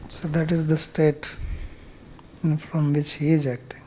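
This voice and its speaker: A man's voice: a little high, monotone, unhurried, mellow, slight background noise, poor audio. A man speaking as a spiritual teacher, explaining scripture verse by verse in a plain-spoken way.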